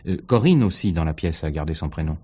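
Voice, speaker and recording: Low-voiced, discreet theatre agent. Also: male voice, animated, mellow, husky, full character voice. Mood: explanatory. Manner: guarded, measured, articulate. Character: discreet, tactful male theatre agent under questioning. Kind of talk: 1950s French radio mystery acting.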